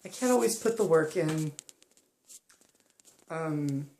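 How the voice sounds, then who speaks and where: Young woman, slow pauses, soft hesitant talk, roomy echo. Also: low-pitched, animated, a little hiss or hum. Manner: soft-spoken and hesitant, many pauses and ums. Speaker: vlogger. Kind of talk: personal reflective monologue.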